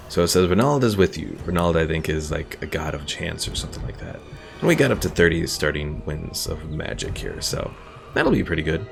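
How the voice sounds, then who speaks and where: Deep gravelly man thinking aloud. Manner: thinking aloud, unsure, low-key. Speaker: streamer. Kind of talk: casual gameplay commentary.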